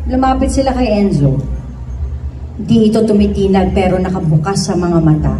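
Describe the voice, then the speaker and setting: A woman narrates a story at a staged reading with deliberate, serious phrasing. Her mid-pitched voice comes from some distance from the mic, with echo and clear noise around it. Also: dark tone. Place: event room before an audience.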